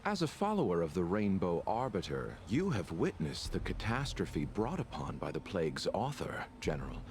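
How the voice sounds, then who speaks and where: An actor playing a polite, scheming male healer in a game, arguing his case calmly and persuasively. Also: low-pitched, moderate pace. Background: game music.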